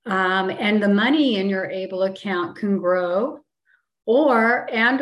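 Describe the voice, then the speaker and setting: A middle-aged woman presenting and explaining in an informal, conversational way, walking through personal examples with frequent ums. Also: mid-range voice. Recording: echoey room.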